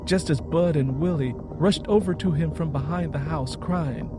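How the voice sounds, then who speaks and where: A man's voice: a little high, moderate pace. A man narrating a scripted story in a dramatic style. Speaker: author and narrator. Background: music.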